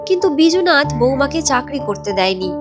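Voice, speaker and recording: High-voiced woman narrating over music. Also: big pitch swings, normal speed. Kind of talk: scripted story narration.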